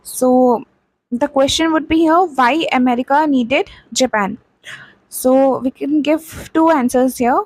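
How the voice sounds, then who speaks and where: High-voiced Indian woman teaching history. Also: takes it slowly, no echo, low-quality recording. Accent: Indian English. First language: not Hindi. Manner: explains plainly, repeats key points with frequent "okay" and "uh". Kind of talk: explaining a textbook chapter.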